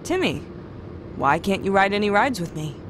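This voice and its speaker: A woman playing a caring, excitable mother who is easily exasperated, in an audio drama. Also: mid-range voice, normal speed, noisy background, close to an everyday voice. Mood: upbeat coaxing.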